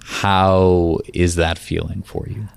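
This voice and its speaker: A man's voice: low voice, level tone, slow pace, creaky, clear, resonant tone, dry recording. A man hosting and asking questions on a radio interview show.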